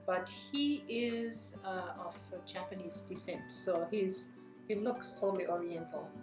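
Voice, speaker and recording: A woman from Germany with a German accent, speaking reflectively in an interview with measured pauses. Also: on the low side. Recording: echoey room, guitar music underneath. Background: clear guitar music.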